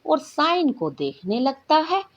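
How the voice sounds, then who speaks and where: A woman's voice: high-pitched, sing-song, slow, highs cut off. A woman reading a scripted bedtime story as its narrator.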